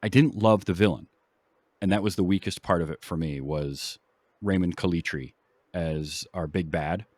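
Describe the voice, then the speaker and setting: A man hosting a film podcast, casually explaining background in a halting, explanatory way. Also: deep voice, darkish tone, no room sound.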